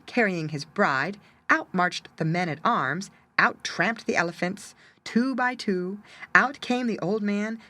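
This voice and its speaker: A woman's voice: mid-range voice, sharp tone. A woman narrating a children's story expressively, with dramatic emphasis.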